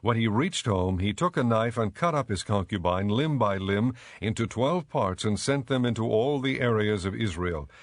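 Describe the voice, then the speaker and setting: A male narrator reads scripture in a measured, solemn storytelling manner. His voice is fairly low, and the delivery is that of a scripted audiobook. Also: animated.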